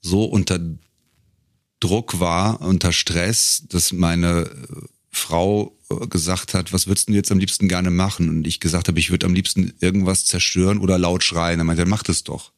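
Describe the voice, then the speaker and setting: A man's voice: low voice, fairly even intonation, moderate pace, dry recording, studio quality. A middle-aged man chatting casually as an interview guest, telling anecdotes with a joking touch. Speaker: podcast guest, professional voice-over speaker and singer. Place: close-miked in a dry room.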